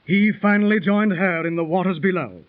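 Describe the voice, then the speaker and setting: A man telling a tale, tinny old radio sound. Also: high-pitched. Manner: storytelling, measured and dramatic. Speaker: narrator within the drama. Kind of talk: 1930s radio drama acting. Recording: tinny 1930s radio transcription.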